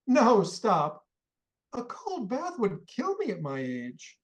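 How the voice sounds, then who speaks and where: A woman's voice: medium pitch, huge pitch swings, slow. A woman voicing an irascible elderly rich man in a radio play, blustering and stubborn. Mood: protesting. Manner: blustering, pleading then threatening.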